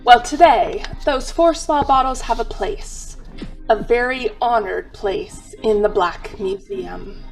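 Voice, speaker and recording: A woman plays a macabre guide to a museum of crime, speaking very slowly over music. The delivery is solemn and theatrical, in a slightly high voice, in the style of old-time radio. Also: gravelly, low-quality recording. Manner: theatrical, deliberate. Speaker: narrator.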